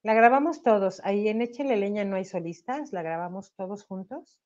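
A woman's voice: medium pitch, fairly quick, lo-fi sound. A woman with a Mexican accent, a singer on a video call interview, talking animatedly with a slight cough. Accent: Mexican Spanish. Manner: animated, tag questions, laughs while talking. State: slight cough, sore throat. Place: home room. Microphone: webcam on video call.

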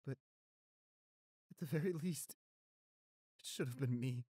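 A gentle, weakened boyfriend , played by a man, speaks in an intimate audio drama. His delivery is slow, tender and halting, with a reassuring softness. The sound is close and dry, at a medium pitch. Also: breathy edge, no room sound. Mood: regretful. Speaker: audio roleplay performer.

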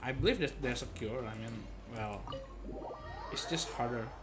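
A young man giving casual gameplay commentary as a streamer, with halting, repetitive phrasing. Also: medium pitch, music in the background. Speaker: game streamer. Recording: clear background noise, game ambience.

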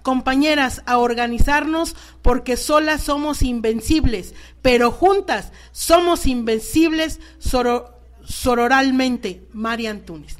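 Radio host, female, Mexican accent, higher-pitched voice, speaking live to guests and listeners. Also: unhurried.

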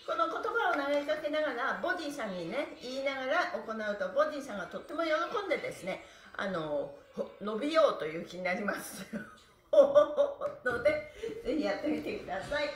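A cheerful middle-aged woman leads a stretch class in a high, lively voice, playful and chatty, laughing often. She is heard from across a small room with a strong echo and faint music behind her. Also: a little fast. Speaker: stretch instructor. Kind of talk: live-streamed stretch lesson. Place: small room at home, on a floor mat. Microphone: camera across the room. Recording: roomy echo, far from the mic, faint music.

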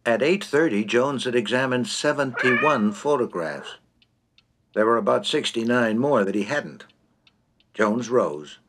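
A man's voice: mid-range voice, lively intonation, unhurried, a bit bright, a little hiss or hum. A man narrating a comic short story in a droll, theatrical, precise manner.

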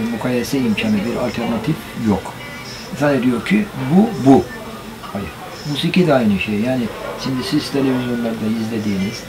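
A man's voice: mid-range voice, poor audio. An older man, a gramophone repairer, talking thoughtfully about old times in a small cluttered workshop. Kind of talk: reflective interview talk.

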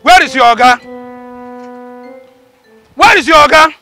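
An irate Nigerian man, a demanding customer in a film drama. His voice is extremely high, sharp and forceful. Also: measured pace, faint music behind, heavily acted. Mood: angry. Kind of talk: film acting in Nigerian Pidgin.

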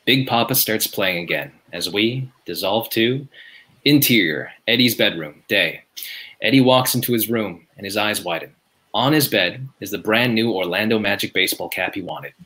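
A man's voice: lowish voice, moderate pace, pushed and bright. A man reading scripted stage directions as narrator at a table read.

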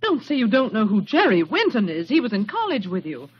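An actress playing an earnest, desperate young woman in 1940s radio style, with a husky voice. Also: on the high side, wildly swooping pitch, brisk, heavily acted. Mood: earnest, pressing. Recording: muffled old radio broadcast.

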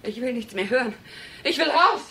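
An actress playing a distraught, defiant widow with emotional intensity in a crime radio drama. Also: female voice, high-pitched, lively intonation, normal speed, background noise. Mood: panicked. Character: distraught, defiant widow facing a dangerous former lover.

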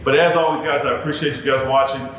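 A man's voice: fairly even intonation, quick pace, a bit bright. A man in his thirties, a barber giving earnest advice straight to camera in a casual, repetitive way, addressing viewers as guys. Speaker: barber and YouTuber. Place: home barber studio, indoors. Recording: very echoey room with faint rumble.

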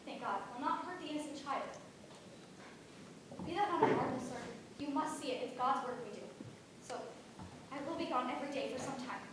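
An actress playing a frightened but defiant eighteen-year-old servant girl, with halting repeats and old-fashioned rustic speech. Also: sits high, close to an everyday voice. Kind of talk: stage play performance. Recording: echoey, far-off stage audio with background noise.

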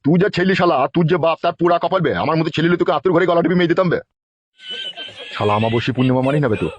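A man's voice: a little high, racing, slight background noise, natural voice. A man voicing a grumpy, foul-mouthed older landlord in a cartoon comedy, with a coarse scolding manner. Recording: dull, muffled lo-fi sound.

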